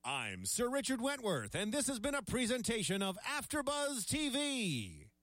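A man's voice: very high-pitched, huge pitch swings, moderate pace, fairly bright tone, no room sound. A man reading a scripted network outro as an announcer.

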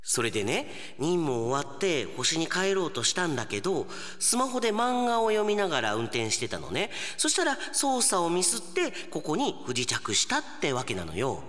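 A man's voice: a little high, huge pitch swings, normal speed, few pauses, fairly bright tone, big-room sound, pitched below the performer's own voice. A man voicing an easygoing, dim-witted alien in a comic radio drama, casual, chummy and sure of himself. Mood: sheepish, chatty.

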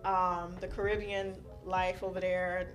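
A young woman from Haiti, raised in South Florida, a podcast guest telling animated stories about growing up, with frequent "um"s and laughter. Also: fairly low pitch, a little slow. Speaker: guest, school counselor. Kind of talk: casual interview storytelling.

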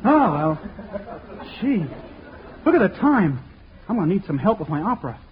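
A bashful, naive young man in a 1940s radio comedy, stammering and hesitant. His high voice swings about in pitch, with a goofy, bumbling air. The old recording is dull. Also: sing-song, moderate pace, slight background noise. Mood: casual.

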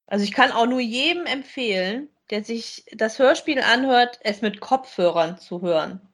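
A woman's voice: on the high side, animated, normal speed. A woman, co-hosting a podcast and chatting casually as she gives a review. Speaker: podcast co-host.

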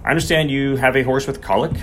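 A man's voice: mid-range voice, fairly even intonation, fast talker, a bit bright, a little hiss or hum, pitched above the performer's own voice, lightly acted. A man voicing an earnest country vet in an audiobook, polite and firm but uneasy. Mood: uneasy, serious.

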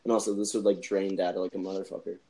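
A man's voice: low voice, level tone, rapid-fire, creaky, dark tone. A young man chatting casually on a phone call from home at night, rambling with lots of fillers and laughing easily. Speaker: podcast co-host. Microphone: phone on speaker.

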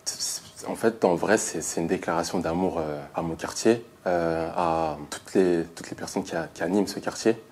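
A man in his thirties from France, a photographer speaking in a colloquial, emphatic and animated way. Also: low voice, normal speed, hardly any pauses, a little hiss or hum. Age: 30s. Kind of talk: documentary interview testimony.

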